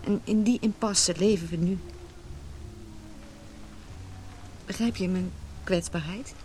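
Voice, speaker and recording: A woman's voice: mid-range voice, moderate pace, a little mellow, soft background music, close to an everyday voice. An actress in a Dutch radio drama playing a vulnerable, earnest married woman torn by forbidden love. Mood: tender, regretful. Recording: noisy radio play recording.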